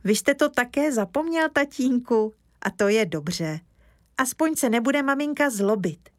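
A woman voicing a lively, cheeky little village boy in an eager, childlike way. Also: fairly high pitch, no room sound, studio quality, voice pushed up, natural voice.

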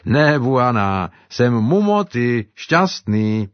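A man voicing a cheerful, grateful African man who talks with excited exclamations. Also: mid-range voice, dry recording, dull audio, voice pushed up, close to an everyday voice. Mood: earnest.

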